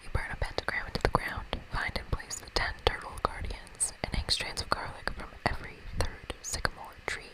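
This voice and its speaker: A woman's voice: brisk, hardly any pauses, rough recording. A woman whispering as an ASMR host, reading a story game aloud.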